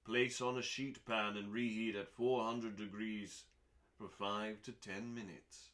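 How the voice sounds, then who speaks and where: A man reading recipes aloud as a host, in a dramatic audiobook style with deliberate pauses and emphasis. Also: lowish voice, flat delivery, a bit bright.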